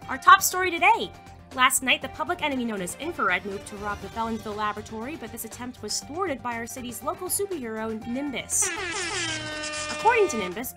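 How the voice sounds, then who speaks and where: A woman playing a teenage girl reading a high school newscast in an audio drama, projected and presenterly. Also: close to an everyday voice.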